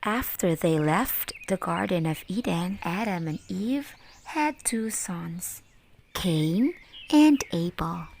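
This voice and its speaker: A woman teacher reading a Bible story aloud for children, addressing them directly in deliberate phrases. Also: mid-range voice, gravelly, slight background noise.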